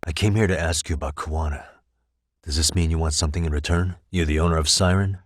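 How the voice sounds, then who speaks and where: An actor playing a composed, determined male detective in a video game, speaking in a measured, probing way with dry wit. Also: rumbling low, fairly even intonation, no room sound. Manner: measured, probing, dryly wry questioning. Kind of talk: English video game voice acting.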